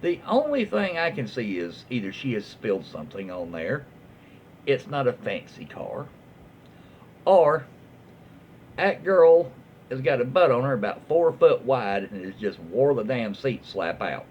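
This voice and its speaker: A man's voice: medium pitch, sing-song, measured pace. A man with a Southern US accent reading stories aloud casually, with folksy, rambling asides and drawling slang. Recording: dull lo-fi audio with faint noise.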